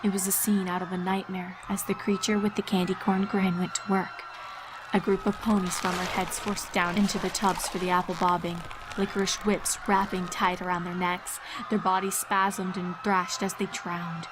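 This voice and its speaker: A woman's voice: fairly low pitch, flat delivery, normal speed, noisy background. A woman giving a dramatic scripted narration of a horror fan-fiction story, with music behind her.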